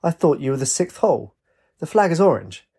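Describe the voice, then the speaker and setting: Elderly storybook golfer, played by a male narrator: cheerful, mild, genteel, slightly raised pitch, husky. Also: big pitch swings, brisk, dark tone. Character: joyful, docile elderly male golfer in thick glasses.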